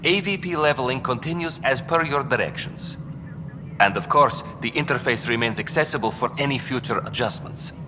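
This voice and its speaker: An actor playing a calm, formal male station assistant who speaks dryly and precisely. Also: medium pitch, moderate pace, natural voice. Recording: game audio with long reverb and clear background noise.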